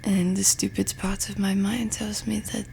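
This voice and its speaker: A woman playing a pensive French roommate in an intimate ASMR ramble, with a French accent and a soft, breathy, hesitant delivery. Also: mid-range voice, flat delivery, moderate pace, slight background noise, natural voice. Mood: musing, gentle. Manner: hesitant, musing, self-deprecating. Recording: music behind the voice. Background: music and summer ambience.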